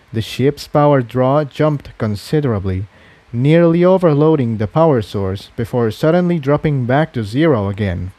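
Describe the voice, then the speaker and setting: A man's voice: mid-range voice, darkish tone, dry recording. Man narrating a story, very dry recording. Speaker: fan fiction narrator.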